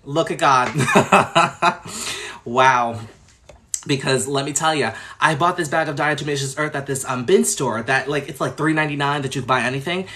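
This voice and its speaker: Male livestream host, from New York, higher-pitched voice, bright and sharp tone, chatty, expressive, gushing, casual delivery. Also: slight background noise. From Long Island, New York. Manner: fast talker. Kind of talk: casual livestream chat, reading and answering viewer comments.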